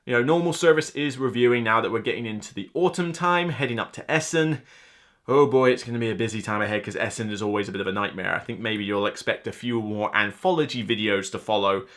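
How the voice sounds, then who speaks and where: A man's voice: on the low side, quick pace, fairly bright tone. A man in his thirties talking casually and unscripted to camera as a board game reviewer, rambling and chatty with a self-deprecating streak. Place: small room lined with board game shelves, indoors.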